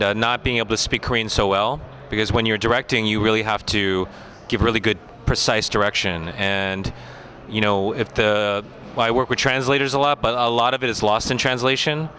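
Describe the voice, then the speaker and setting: An American man, a film director, talking through a festival interview in a relaxed, thoughtful way with many pauses and fillers. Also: medium pitch, a little hiss or hum. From United States.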